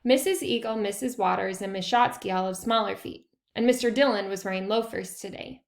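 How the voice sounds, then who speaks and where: An eager schoolboy detective from a children's storybook, acted out by a woman reader. The delivery is animated and emphatic, full of confidence, with some creak in the voice. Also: mid-range voice, a little fast, lightly acted. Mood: confident. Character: eager, confident schoolboy amateur detective who loves food.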